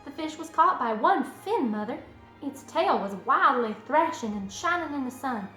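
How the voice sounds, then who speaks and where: A woman voicing an eager, imaginative, stubborn young farm girl in a storybook, earnest and excitable. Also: high voice, moderate pace, voice pushed up. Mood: excited, insistent. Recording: roomy echo, music underneath.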